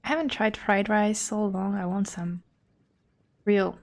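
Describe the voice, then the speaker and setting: A woman's voice: mid-range voice, steady tone, a little slow, darkish tone. A woman from Europe streaming a game, talking casually as she comments on what she plays. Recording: game music behind the voice. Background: game music.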